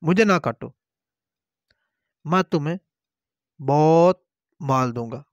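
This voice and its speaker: A man's voice: a little high, slow pace, dry recording, dull audio. A man telling a folk tale and voicing a talking tree that coaxes with promises of riches. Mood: coaxing.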